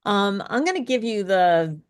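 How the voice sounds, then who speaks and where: A woman's voice: medium pitch, very animated, a little slow, smooth. A woman speech coach leading an online workshop, explaining and praising participants in an encouraging way. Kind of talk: interactive online workshop teaching.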